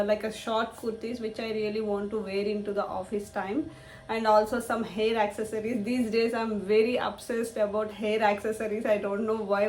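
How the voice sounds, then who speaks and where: A young woman with a husky voice, feverish and clearing her throat, chatting casually as she shows off a shopping haul. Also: a little high. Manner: chatty, repetitive, showing items to camera. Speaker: vlogger. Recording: echoey room, faint noise.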